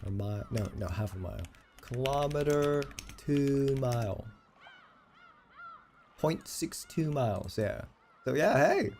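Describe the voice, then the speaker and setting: A man's voice: mid-range voice, lively intonation, slow, pauses often, a little mellow, a little hiss or hum. A man in his late twenties chatting casually on a livestream, rambling and self-deprecating with plenty of swearing. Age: late 20s. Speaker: game livestreamer.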